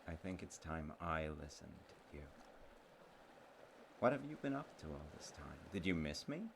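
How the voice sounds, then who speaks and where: A sweet, sincere young-at-heart sweetheart, acted by a man in a romantic audio roleplay, with a very low voice full of gravel. He talks slowly and haltingly, tender and earnest toward an old friend he loves. Clear watery ambient noise runs behind him. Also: very animated, exaggerated voice. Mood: loving, earnest. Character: sweet, slightly slow childhood friend, male, sincerely in love.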